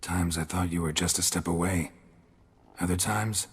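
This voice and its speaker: Gruff deadpan monster hunter from a video game, low gravelly voice, big reverb. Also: male voice, normal speed. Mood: calm, serious. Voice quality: gravelly, husky. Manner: laconic, deadpan. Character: gruff, weathered male monster hunter, dry and stoic. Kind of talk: video game dialogue acting.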